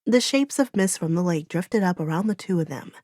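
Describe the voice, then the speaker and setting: A woman's voice: on the low side, lively intonation, fairly quick, a little mellow, dry recording. Woman narrating fantasy, dry close sound. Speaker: audiobook narrator. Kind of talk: scripted fantasy story narration.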